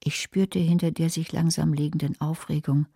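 A woman's voice: low voice, little pitch movement, moderate pace, vocal fry, mellow, husky, no echo, heavily acted. An actress playing a wary, dry female narrator in a radio crime drama. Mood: tense, dry.

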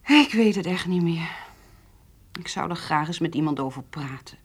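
Husky, fretful farm mother. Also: fairly low pitch, animated. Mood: distressed. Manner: halting, repeating words, fretful. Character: anxious, stubborn farmer's wife and mother of grown son. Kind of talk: radio drama dialogue.

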